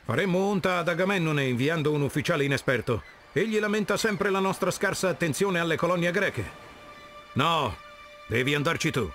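A man's voice: on the high side, animated, brisk, a bit bright, soft background music. A man voicing an anxious, insistent royal counselor in a video game cutscene. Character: anxious, insistent counselor of Atlantis fearing omens.

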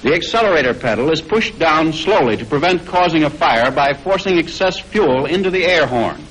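An American man reading scripted 1940s instructional film narration in a formal, measured way, on an old film soundtrack. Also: on the high side, animated, fairly bright tone, husky, low-quality recording.

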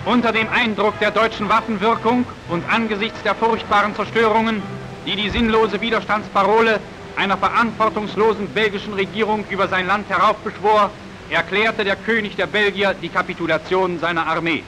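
A man's voice: high-pitched, steady tone, brisk, poor audio. A man narrating a 1940s wartime propaganda newsreel in a formal, declamatory manner, on an old film soundtrack. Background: music.

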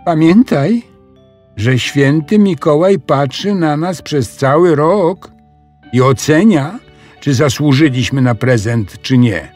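Male narrator as sensible little girl, easily annoyed, raised pitch, swooping intonation, emphatic, storybook audio, music behind. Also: expressive, a little mellow. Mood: scolding. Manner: emphatic, drawn-out questions. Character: young schoolgirl, sensible, easily annoyed, chiding.

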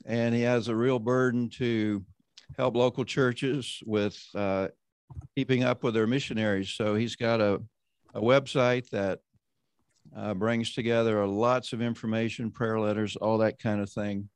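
A man hosting an online roundtable, introducing panelists and leading a prayer in a measured, conversational way. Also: fairly low pitch, steady tone, clean tone, no room sound. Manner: measured, conversational, often slowing down. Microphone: video call.

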